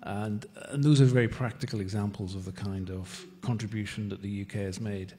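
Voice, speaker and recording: Panel speaker: Northern Irish man, lowish voice, measured delivery, long hall reverb. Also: steady tone, warm tone. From Northern Ireland. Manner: measured, deliberate, with occasional repeated words. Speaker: British diplomat on a panel. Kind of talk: formal panel discussion.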